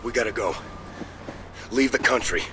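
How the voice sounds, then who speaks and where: An actor playing a grim, middle-aged criminal partner in a video game, blunt, accusing and profane. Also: male voice, mid-range voice, moderate pace, a bit bright, a little hiss or hum, lightly acted. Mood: stern urging. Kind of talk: video game cutscene acting.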